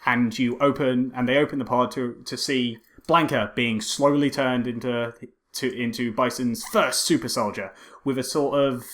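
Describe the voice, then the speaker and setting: Male co-host for a movie podcast, mid-range voice, informal and wry with halting explanations. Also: medium pitch, steady tone, a bit bright. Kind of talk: casual film review chat.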